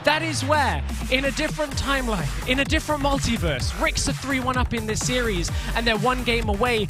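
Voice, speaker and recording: A man doing play-by-play esports commentary who gets excitable and shouts at big plays. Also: extremely high pitch, a little fast, music in the background. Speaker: esports caster.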